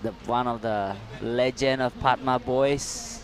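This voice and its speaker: A man co-commentating a surf contest live, speaking relaxed, halting English with fillers. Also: mid-range voice, a crowd in the background. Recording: outdoor ambient noise, passing vehicles.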